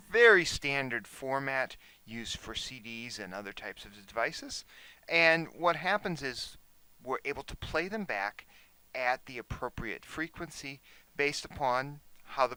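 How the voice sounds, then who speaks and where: A man speaking as an instructor, explaining a software demonstration in a measured, instructional way. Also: mid-range voice, clean tone, no echo, low-quality recording.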